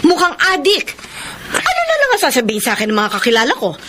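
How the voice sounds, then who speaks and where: A woman's voice: sits high, huge pitch swings, fast, noisy background. A woman playing a stern, status-conscious middle-aged mother in a radio drama, emphatic and forbidding in manner. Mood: indignant, refusing. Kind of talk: Tagalog radio drama acting.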